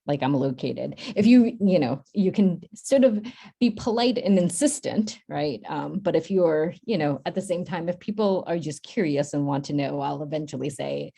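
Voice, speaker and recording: Low, gravelly woman leading a workshop. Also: animated, moderate pace, dark tone. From Boston, United States. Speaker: workshop host and centre staff speaker. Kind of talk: informal workshop advice over video call. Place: virtual workshop video call.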